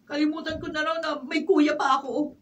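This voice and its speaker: An actress playing a caring, distressed younger sister and wife in an emotional Filipino radio drama. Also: female voice, high voice, fairly even intonation, fairly quick, fairly bright tone. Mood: hurt. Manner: emotional, tearful, pleading. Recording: distant mic with faint noise.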